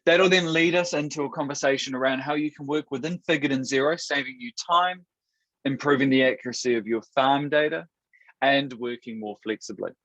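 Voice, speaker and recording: A man from New Zealand with a Kiwi accent, hosting a product webinar in an upbeat, steady presenter manner with a few restarts. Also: medium pitch, brisk, no echo. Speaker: webinar host. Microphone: video call. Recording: dull dry webinar audio.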